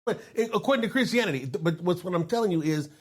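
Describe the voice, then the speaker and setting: A man's voice: high-pitched, lively intonation, very fast, husky. A man in his fifties hosting a casual podcast, telling stories with frequent laughs and lots of "you know". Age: 50s. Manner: storytelling, laughs often, says "you know" a lot. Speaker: podcast host.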